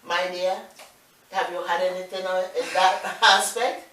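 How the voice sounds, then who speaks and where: A woman's voice: on the low side, little pitch movement, a little slow, a bit bright. A woman from Nigeria, co-hosting a talk show and often backing up her partner's points. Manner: affirming, frequent agreement. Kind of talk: casual discussion. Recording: echoey room, mic distant.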